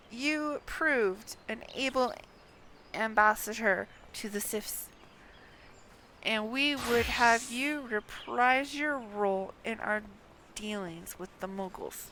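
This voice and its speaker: A stern, formal commander of a game's forces, speaking in slow, measured tones, as a woman reads him out. The voice sits a little high, and the delivery is unhurried and deliberate. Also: noisy background.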